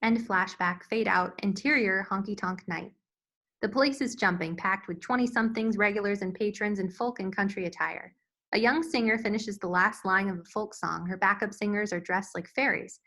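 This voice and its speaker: A woman's voice: mid-range voice, normal speed, sharp tone. A woman reading scene headings and stage directions as the narrator of a screenplay table read.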